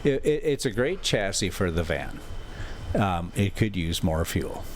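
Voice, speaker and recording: Low-voiced man, folksy and very animated. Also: slight background noise. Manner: folksy, digressive, halting, thinking out loud. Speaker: RV travel vlogger and host. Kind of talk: casual rambling vlog commentary with storybook reading aloud.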